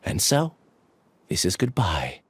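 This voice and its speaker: An actor playing an earnest, resigned young researcher in a video game, speaking solemnly and deliberately. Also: male voice, low-pitched, wildly swooping pitch, slight background noise, exaggerated voice. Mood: solemn resolve.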